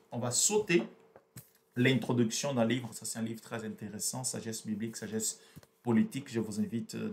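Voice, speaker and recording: A man's voice: lowish voice, creaky, darkish tone, slight background noise. A man whose first language is Portuguese, explaining a book in a hesitant, repetitive way with many fillers. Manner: hesitant, repeats words, frequent fillers. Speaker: book reviewer. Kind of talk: explanatory book review talk.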